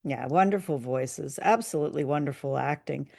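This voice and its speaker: A woman's voice: low-pitched, normal speed, vocal fry, darkish tone. A woman hosting a podcast in a casual, conversational review style. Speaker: podcast host.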